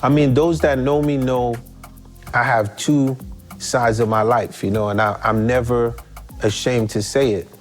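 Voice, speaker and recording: A middle-aged man, telling stories in an interview in slangy, animated talk full of rhetorical questions. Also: medium pitch, unhurried, faint music behind. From Haiti. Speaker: musician guest. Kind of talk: relaxed storytelling interview.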